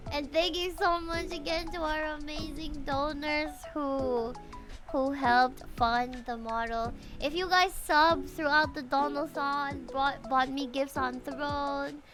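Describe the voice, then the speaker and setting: A young woman from the Philippines, streaming live and chatting casually with her viewers in a bubbly, giggly way. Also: sits high.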